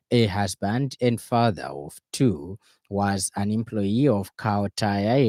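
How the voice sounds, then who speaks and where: Male radio news presenter, Zambian accent, lowish voice, formal scripted bulletin read, very dry studio sound. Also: a little mellow, dry recording.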